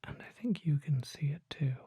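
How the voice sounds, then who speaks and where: Performer acting patient vampire apothecary, breathy whisper, very dark tone, solemn and gentle, formal ASMR roleplay. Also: medium pitch, expressive, no room sound, muffled recording. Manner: slow, deliberate, formal phrasing.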